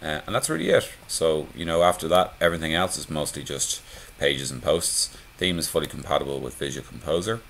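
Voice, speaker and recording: A man giving a relaxed, conversational screencast walkthrough of a website theme, with frequent "uh" and "um" fillers. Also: extremely low pitch, fairly quick, gravelly, hardly any pauses, a little hiss or hum. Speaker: theme tutorial presenter.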